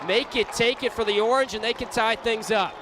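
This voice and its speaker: High-pitched man calling lacrosse over loud crowd. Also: brisk. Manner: excitable, rises to shouts on big plays. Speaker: play-by-play announcer. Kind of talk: live lacrosse radio commentary. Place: sports stadium during a live game. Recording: loud crowd noise behind commentary. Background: crowd and arena noise.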